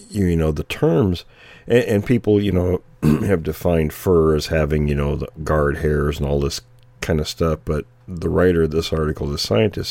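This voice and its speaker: A man co-hosting a casual podcast chat, losing his voice and clearing his throat, with a rambling way of talking. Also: very deep voice, mellow, dry recording. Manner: clears throat often, meandering. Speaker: podcast co-host.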